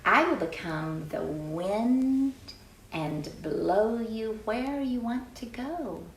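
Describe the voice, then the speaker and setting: A woman voicing a patient, loving mother rabbit from a storybook, giving gentle, steady reassurance. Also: fairly high pitch, extremely slow, reverberant, slight background noise, voice pushed up, full character voice, rough recording.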